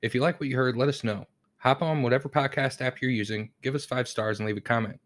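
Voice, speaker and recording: Relaxed man co-hosting a podcast. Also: mid-range voice, fast talker. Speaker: podcast co-host. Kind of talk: casual true-crime discussion and show outro.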